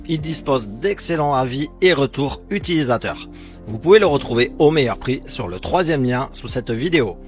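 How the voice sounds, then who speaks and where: A man with a mid-range voice presents a scripted product comparison as a voice-over. He reads in a measured, explanatory way with a sales-pitch style. Faint music plays behind him. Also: animated. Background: background music.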